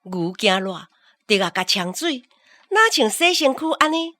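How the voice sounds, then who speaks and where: A woman's voice: mid-range voice, very animated, moderate pace, pushed and bright, no room sound. A woman with a Taiwanese accent narrating a scripted radio drama as a storyteller.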